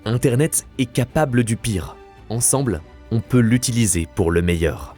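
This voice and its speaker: A man's voice: mid-range voice, expressive, normal speed, warm tone. A man in his twenties narrating a scripted video essay with earnest, dramatic delivery. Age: 20s. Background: faint music.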